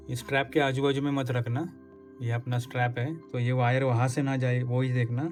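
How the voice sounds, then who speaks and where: A man's voice: mid-range voice, a little mellow. A man vlogger casually explaining a hands-on installation step by step, giving practical tips as he works. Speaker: motorcycle vlogger.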